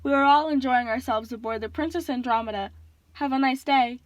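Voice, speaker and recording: A woman's voice: high voice, fairly quick, no room sound, lightly acted. A woman plays entranced people aboard a cruise ship in an audiobook. They speak in stilted, pleasant phrases with a blank, glazed manner, recorded dry. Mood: blank pleasantness.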